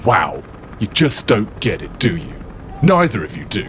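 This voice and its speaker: A self-righteous demigod warlord, as a man puts him on, preaches with earnest indignation. His pitch swings widely as he lectures. A steady hiss of noise sits behind the voice. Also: a little slow, full character voice. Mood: indignant.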